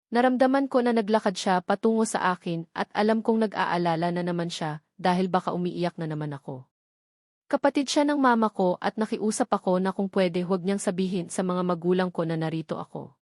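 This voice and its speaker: Woman, Filipino accent, scripted novel narration, medium pitch, dry close sound. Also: a little mellow, dry recording. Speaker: audiobook narrator. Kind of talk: scripted first-person narration of a romance novel.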